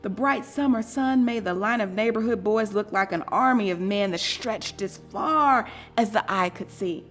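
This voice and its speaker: A woman reading a children's story aloud in a deliberate, expressive and dramatic storytelling manner. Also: mid-range voice, faint music behind. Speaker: storybook reader.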